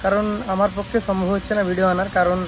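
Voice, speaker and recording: A man's voice: high-pitched, flat delivery, racing. A young man with a West Bengal accent chats casually to viewers in a vlog, explaining things with frequent check-in tags and restarted phrases. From West Bengal, India. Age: young adult. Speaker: speaker repair shop vlogger. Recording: clearly noisy phone vlog recording.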